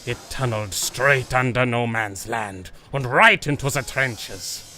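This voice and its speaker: An actor playing a proud, confident German baron and war officer, suave and commanding with a German accent in a radio drama. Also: male voice, mid-range voice, unhurried, pushed and bright. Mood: smug, triumphant. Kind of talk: radio adventure drama acting.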